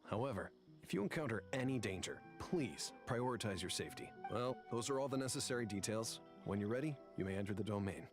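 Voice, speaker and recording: An actor playing a composed man who formally briefs an adventurer in measured video game dialogue. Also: on the low side, expressive. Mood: calm, formal. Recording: game music under the voice.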